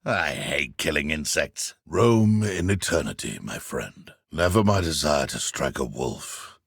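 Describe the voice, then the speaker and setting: An actor playing a sneering, menacing British poacher and dark wizard in a video game, taunting with mock politeness and contempt. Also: male voice, very deep voice, lively intonation, measured pace, creaky, slightly rough, dry recording, studio quality, full character voice. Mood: grim contempt. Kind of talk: video game villain combat barks.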